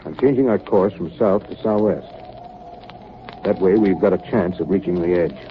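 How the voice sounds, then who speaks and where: An actor playing a steadfast, grave Arctic expedition captain in a 1930s radio drama, speaking in a measured, commanding way. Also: male voice, deep voice, natural voice. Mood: resolute. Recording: muffled, noisy 1938 radio broadcast recording.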